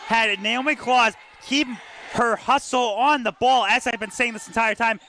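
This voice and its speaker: A man working as a color commentator on live sports, projecting loudly over the venue. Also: very high-pitched, steady tone, normal speed. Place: indoor gymnasium. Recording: loud gymnasium noise.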